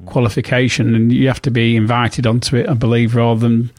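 A man co-presents a casual podcast chat and often stalls while he searches for the right words. His voice sits at a middle pitch, and the recording is dry and close. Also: little pitch movement, brisk, darkish tone, no room sound.

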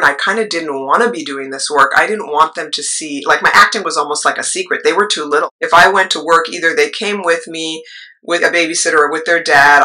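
Low-voiced middle-aged woman, bright tone. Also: low-quality recording. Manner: rambling, with asides and trailing thoughts. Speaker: choir leader and actress. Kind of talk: interview storytelling.

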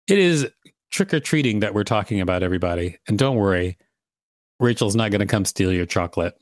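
A man's voice: on the low side, animated, a little fast, no room sound, clean studio sound. A man co-hosting a podcast, reading encyclopedia text aloud with casual asides. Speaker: podcast co-host.

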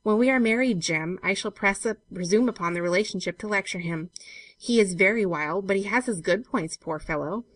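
A woman reading a story aloud, voicing an affectionate, nervy young woman in love with a light, expressive delivery. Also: medium pitch, no room sound, close to an everyday voice. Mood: fond, gentle. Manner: light, expressive, quick to startle.

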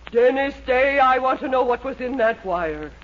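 A woman's voice: high-pitched, expressive, normal speed. An exasperated American mother in an old radio comedy. Her voice swoops expressively through a dull, noisy vintage recording. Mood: demanding. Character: fussy, exasperated mother of a grown daughter. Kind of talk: 1940s radio sitcom acting.

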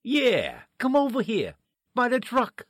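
An actor playing a shifty, pushy street peddler with slangy, wheedling talk in an old-time radio drama. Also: male voice, very high-pitched, huge pitch swings, slow pace, a bit bright, no room sound, clean studio sound, heavily acted. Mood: sly, coaxing. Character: shifty male street peddler hawking cheap fur coats.

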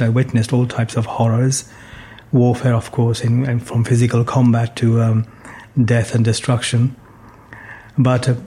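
A man in his sixties from Bangladesh, a guest telling his life story in a measured, thoughtful way with many fillers and restarts. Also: medium pitch, mellow. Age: 60s. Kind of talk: reflective life story in conversation.

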